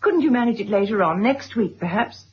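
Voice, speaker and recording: An actress playing a gentle, solicitous middle-aged mother and wife in a radio drama, speaking considerately. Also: medium pitch, expressive, fairly quick, slight background noise, close to an everyday voice. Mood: polite, evasive. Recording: dull old radio recording.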